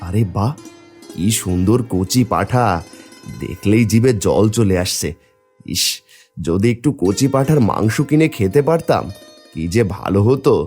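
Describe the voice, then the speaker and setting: A man's voice: on the low side, animated, unhurried, pauses often, a little mellow, soft background music. A man voicing a poor, wistful village taro seller and put-upon husband in a cartoon story, plaintive and pleading. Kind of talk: Bengali cartoon story voice acting.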